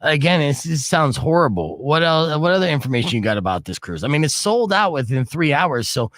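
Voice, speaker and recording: A man's voice: a little fast, no room sound. A man hosting a radio show, telling stories and bantering casually with his co-hosts. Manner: animated storyteller, jumps loud and high when excited. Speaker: radio DJ and host. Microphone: studio microphone.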